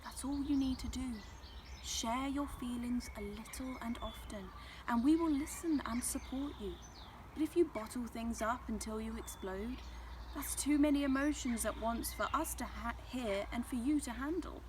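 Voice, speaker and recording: Woman reader as storybook sun: wise, gentle, reassuring mentor. Noisy recording. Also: a little high, moderate pace, voice pushed up, lightly acted. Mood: patient advice. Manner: gentle, advising.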